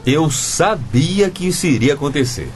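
A man's voice: fairly high pitch, normal speed, faint music behind. An indignant sarcastic father. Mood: sly. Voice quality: lively, sarcastic. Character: stubborn upright middle-aged father Jonas. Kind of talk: radio drama acting.

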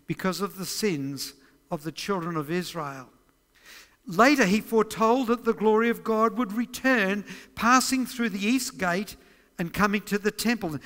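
Man, older, Australian accent, high voice, measured preaching, long church reverb. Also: a bit bright. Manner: measured, reads scripture aloud with emphasis. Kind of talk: sermon teaching on prophecy. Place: church stage, large indoor hall.

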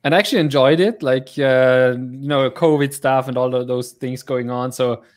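A man's voice: mid-range voice, steady tone, moderate pace. A man in his thirties with a German accent, a native German speaker who is a guest in a casual video-call chat, full of "like" fillers as he searches for words. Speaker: guest software developer.

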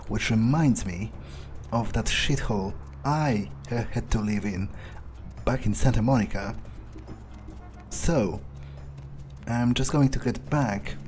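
A man with a mellow, relaxed voice at middle pitch narrates a game in character. He thinks aloud, aloof and often swearing, close to the mic over faint music. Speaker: gamer roleplaying his character. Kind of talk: in-character video game commentary, musing aloud.

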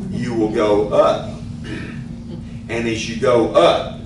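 An older man teaching a sermon in earnest, deliberate fashion, speaking into a stand microphone in a church meeting room. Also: mid-range voice, fairly even intonation. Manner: slow, earnest Bible teaching with gestures. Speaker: pastor. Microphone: stand mic, filmed from a distance. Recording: very echoey room, distant mic, noise.

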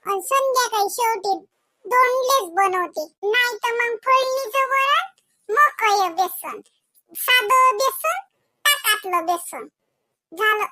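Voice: extremely high pitch, expressive, bright, forceful tone, slight background noise, heavily acted. A performer voicing a cheeky scheming schoolboy in a comedy cartoon, with a Varhadi Marathi accent and a theatrical, exaggerated delivery. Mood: mock praise. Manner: theatrical, exaggerated, drawn-out words. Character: cheeky scheming schoolboy son, mock-earnest flatterer. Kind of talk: comedy cartoon monologue and dialogue.

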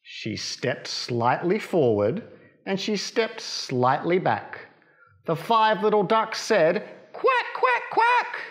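A man in his thirties reading a picture book to children in a playful, expressive way. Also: sits high, slow pace. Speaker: library storytime reader. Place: small children's library corner, indoors.

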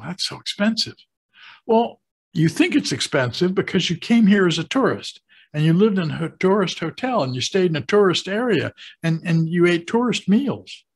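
A man in his sixties with an American accent talks to camera as a host, explaining things deliberately with pauses for emphasis. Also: fairly high pitch, breathy edge. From United States. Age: 60s. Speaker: host of a retirement advice channel. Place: small home office, indoors. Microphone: webcam video call setup.

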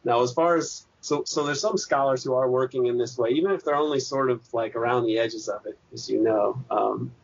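A man speaks as an academic guest in a gravelly, husky voice. He works through his points slowly, pausing and restarting often. The recording sounds muffled and lo-fi. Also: mid-range voice. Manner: thoughtful, hesitant, many restarts and fillers. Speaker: interview guest, PhD candidate. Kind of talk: academic interview answers. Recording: dull lo-fi podcast audio.

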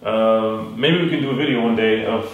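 Soft-spoken young man, distant and roomy. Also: on the low side, normal speed, dull audio. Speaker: video editor, guest. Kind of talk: casual Q&A chat. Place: bright living room.

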